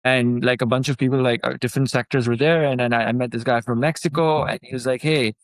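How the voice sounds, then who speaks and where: A man's voice: mid-range voice, fast, no room sound. Casual man chatting from a dry mic. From Pakistan. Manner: relaxed and conversational, many fillers, animated when excited. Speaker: startup founder guest. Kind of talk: casual interview chat.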